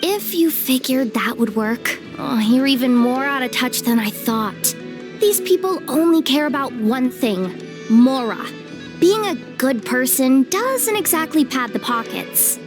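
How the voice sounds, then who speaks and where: A woman's voice: high-pitched, expressive, a little slow, music in the background. An actress playing a cynical, commanding, streetwise young woman in a video game, sardonic and self-assured. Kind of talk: animated game cutscene dialogue.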